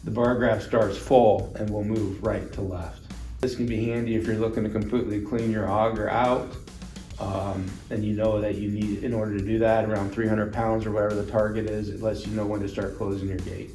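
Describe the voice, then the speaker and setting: Low-voiced man demonstrating equipment, roomy sound. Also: little pitch movement, fairly quick, dark tone. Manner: plain, step-by-step explaining. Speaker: product demonstrator. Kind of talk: instructional product demonstration. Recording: roomy echo, distant mic, music underneath.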